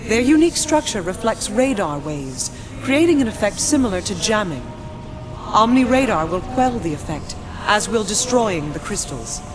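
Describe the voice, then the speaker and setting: An actress playing a gracious, regal princess, formal and courtly, in a video game. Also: female voice, medium pitch, big pitch swings, moderate pace, over music, close to an everyday voice. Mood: calm, instructive. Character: gracious, regal young princess, gentle yet firm.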